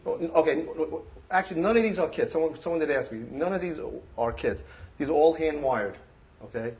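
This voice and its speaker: A man's voice: fairly high pitch, expressive, a little mellow. A man giving an informal show-and-tell about his hobby at a club meeting, speaking into a handheld mic, full of fillers and restarts. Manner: halting, many restarts and fillers. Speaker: club member presenting his collection. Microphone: handheld microphone.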